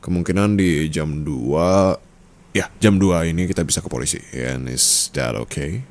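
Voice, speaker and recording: A man acts out a dominant, wealthy sugar-daddy husband in an intimate ASMR roleplay. His extremely low voice has a creaky, gravelly edge and an Indonesian accent. He talks softly and possessively, teasing with English pet names. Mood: teasing, caring. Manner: soft, slow, mixes in English endearments.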